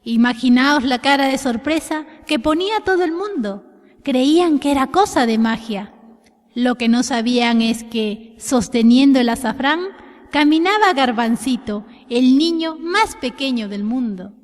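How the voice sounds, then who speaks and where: A woman narrating a scripted children's tale in an expressive storytelling style. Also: sits high, unhurried. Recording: long hall reverb.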